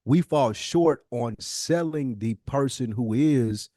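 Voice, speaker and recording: A man's voice: medium pitch, slow pace, mellow, no echo, clean studio sound. A man in a conversational podcast discussion, explaining emphatically and posing rhetorical questions as a co-host.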